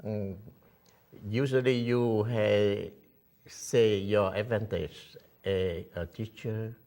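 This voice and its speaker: Guy hosting a local tv interview, slow and halting, lowish voice, hunts for words. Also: fairly even intonation, darkish tone. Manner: halting, searching for words, nonnative phrasing. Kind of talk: interview questions.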